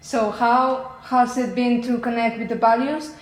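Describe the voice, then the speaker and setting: A woman's voice: a little high, fairly even intonation, measured pace. A woman interviewing a guest, asking questions and often murmuring short agreement. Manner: frequent short backchannel agreement. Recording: echoey room.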